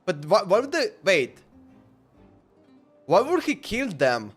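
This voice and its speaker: Casting: male streamer, twenties, high voice, casual speculative commentary, faint music behind. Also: wildly swooping pitch, takes it slowly, husky. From Slovenia. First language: Slovenian. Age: 20s. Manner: musing aloud, speculative, repetitive. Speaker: game livestreamer. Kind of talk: casual live reaction commentary.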